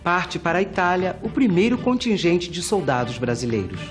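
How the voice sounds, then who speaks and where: High-pitched, animated Brazilian male narrator. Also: normal speed, music in the background. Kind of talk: dated newsreel-like scripted narration.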